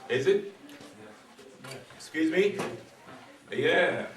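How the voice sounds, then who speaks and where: A man's voice: on the high side, expressive, extremely slow. Man preaching in an echoey hall. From Egypt. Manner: playful, teasing, asks the audience riddles. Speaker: imam giving a talk. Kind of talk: formal sermon opening, then casual talk with the audience. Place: hall with a live audience. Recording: echoey room, voice from far off.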